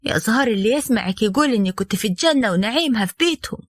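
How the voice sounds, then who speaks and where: A woman voicing a chatty young sister-in-law who coaxes in a lively way. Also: a little high, rapid-fire, no room sound. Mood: coaxing.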